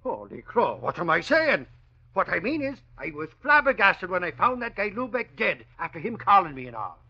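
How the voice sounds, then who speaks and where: Blustering high-pitched newspaper reporter. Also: male voice, sing-song, normal speed, vocal fry, pushed and bright, husky, exaggerated voice. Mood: flustered, emphatic. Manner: blustery, colloquial, folksy exclamations. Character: excitable, blustering, brash male newspaper reporter. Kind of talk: 1940s radio drama acting. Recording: dull old radio recording.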